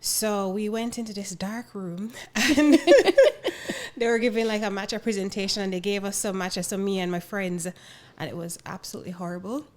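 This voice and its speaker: A Jamaican woman in her thirties talks as a guest on a podcast. She tells her story in an easygoing, chatty way, with frequent laughs and a middle-pitched voice. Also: fairly even intonation, moderate pace. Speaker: guest, founder of a matcha brand. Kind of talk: casual interview chat.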